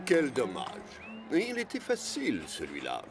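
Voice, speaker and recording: Wry cartoon animal commentator, very swoopy, music behind. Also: male voice, mid-range voice, wildly swooping pitch, measured pace. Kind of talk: cartoon dub. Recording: loud background music.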